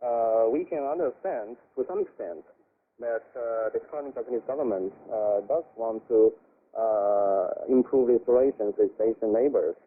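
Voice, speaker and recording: A man speaking as a professor interviewed on radio, giving formal political analysis in a deliberate, hesitant way. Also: mid-range voice. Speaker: expert guest, professor. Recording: tinny narrow-band shortwave radio.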